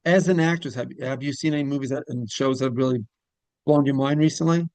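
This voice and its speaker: Male interview host, casual and rambling, with lots of fry and a very dry, close sound. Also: mid-range voice, fast, darkish tone, dry recording. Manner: rambling, repeats phrases, griping about pet peeves.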